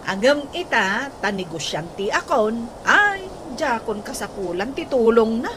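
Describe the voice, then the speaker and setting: A woman plays a blunt, scolding grown daughter in an Ilocano radio comedy drama. Her voice is moderately high, with an Ilocano accent. Heavy noisy ambience surrounds it. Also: big pitch swings, unhurried. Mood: complaining.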